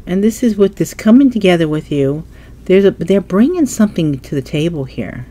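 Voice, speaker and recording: A woman's voice: fairly low pitch, very animated, moderate pace, mellow. Stuffy-nosed woman reading tarot cards. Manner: musing, thinking aloud while turning cards. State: stuffy nose. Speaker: tarot reader. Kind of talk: casual tarot reading to camera. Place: indoors at a table, hands only in frame.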